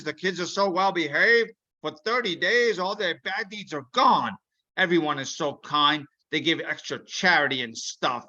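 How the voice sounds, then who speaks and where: A man puts on a grumpy storybook villain, scheming and theatrical. The voice is forceful and menacing with big swings in pitch, on a slightly dull recording. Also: exaggerated voice. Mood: disgruntled, scheming.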